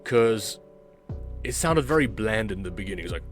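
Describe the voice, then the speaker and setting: Man, lowish voice, unhurried halting delivery, casual video host commentary, faint music. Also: expressive. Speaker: video host, amateur voice actor.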